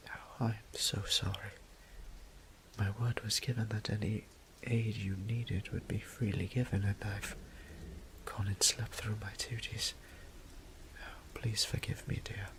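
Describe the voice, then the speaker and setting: A man playing a gentle, apologetic old vampire priest in an intimate audio drama, speaking in formal, hesitant phrases with a breathy half-whisper. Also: fairly low pitch, flat delivery, unhurried, poor audio. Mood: apologetic, flustered. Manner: hesitant, formal old-fashioned wording, tender.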